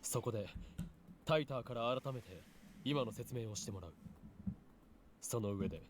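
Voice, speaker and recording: A man's voice: mid-range voice, animated, quick pace, background noise, close to an everyday voice. A man voicing a brash young self-styled mad scientist, commanding and defiant, in a game. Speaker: game character voice. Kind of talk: Japanese game voice acting.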